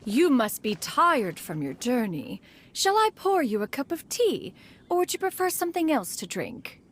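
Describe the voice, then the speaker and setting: A woman's voice: sits high, big pitch swings, moderate pace, slight background noise. A woman voicing a grand, theatrical immortal master with formal speech in a video game. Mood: stagey, scheming. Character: haughty, scheming female immortal master feigning polite chat. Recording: faint music behind.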